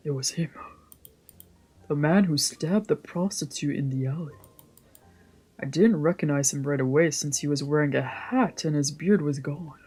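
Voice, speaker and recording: A woman's voice: low-pitched, moderate pace, warm tone, breathy edge. A woman streamer reading visual novel narration aloud on a let's play. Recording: music behind the voice.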